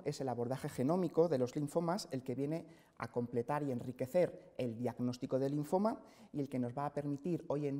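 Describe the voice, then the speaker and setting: A middle-aged man from central Spain giving a measured scientific lecture to colleagues in a hospital auditorium, pausing often on "eh". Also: mid-range voice, dark tone. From Spain, Castilla-La Mancha. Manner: measured, explanatory, frequent "eh" fillers. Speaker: hematologist lecturer. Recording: long hall reverb from a lecture hall.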